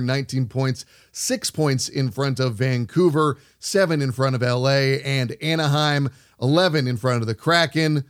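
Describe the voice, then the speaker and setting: A man with a somewhat high-pitched voice speaks as a sports radio host. He delivers commentary and interview questions in a broadcast style.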